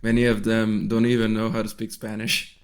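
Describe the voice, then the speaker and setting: Man, from Brazil, podcast host, low voice, flat delivery, relaxed, short remarks. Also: a little fast, clear, resonant tone. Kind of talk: casual podcast interview.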